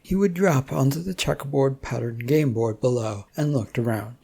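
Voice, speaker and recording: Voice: mid-range voice, moderate pace, vocal fry, mellow, husky. A storyteller reading scripted fiction narration.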